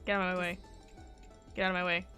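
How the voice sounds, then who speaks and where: A woman's voice: on the low side, flat delivery, fast talker, pushed and bright, soft background music. A woman game streamer chatting and reacting casually, with playful, dramatic pleading and exclaiming.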